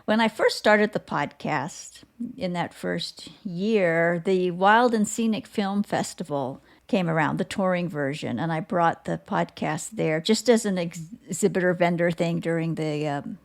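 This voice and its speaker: A woman hosting a podcast, speaking in a conversational interview style with halting, reflective phrasing. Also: fairly low pitch, vocal fry. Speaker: podcast host.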